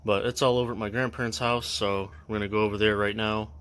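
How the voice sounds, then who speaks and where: A young man chatting casually in a vlog, halting and full of fillers, filming indoors at a house. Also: lowish voice, flat delivery, fairly bright tone. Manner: halting, many fillers, unsure. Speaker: vlogger. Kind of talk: casual show-and-tell vlog.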